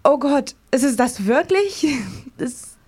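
Higher-voiced woman, halting but enthusiastic. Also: lively intonation, darkish tone. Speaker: interviewed contributor. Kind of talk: unscripted travel account.

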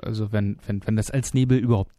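Mellow, hesitant deadpan man. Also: on the low side, quick pace, dry recording. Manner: dry, hesitant, deadpan jokes with many "äh". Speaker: radio co-host. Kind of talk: casual radio banter.

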